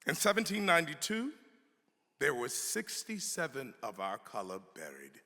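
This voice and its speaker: A man giving a dramatic reading of an old historical text, with emphatic, halting, weighty delivery. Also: mid-range voice, wildly swooping pitch, vocal fry, sharp tone. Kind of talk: dramatic reading of an 18th-century pamphlet. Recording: reverberant hall.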